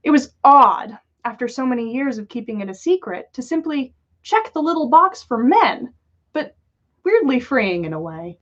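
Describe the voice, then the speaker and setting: Expressive woman storyteller with lively, swooping pitch. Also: moderate pace, muffled recording. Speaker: podcast host reading listener-written fan fiction. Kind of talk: animated storytelling read aloud on a livestream.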